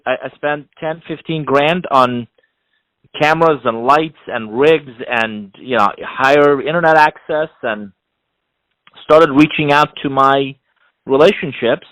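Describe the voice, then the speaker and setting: A middle-aged man from Iran, a non-native English speaker, giving measured, explanatory answers as an interview guest, often building his points in repeated phrases. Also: medium pitch, fairly bright tone, dry recording, low-quality recording. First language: not English. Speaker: interview guest, executive coach and author.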